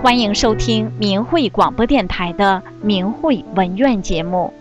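Woman announcer reading a radio show intro, smooth and formal, music playing under her. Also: medium pitch, unhurried, muffled recording. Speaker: program announcer. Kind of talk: scripted radio program intro.

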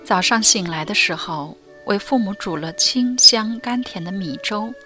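A young woman narrating a radio drama in first person, soft and confessional, often near a whisper. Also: mid-range voice, expressive, unhurried. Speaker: narrator and lead. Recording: dry studio voice with faint music.

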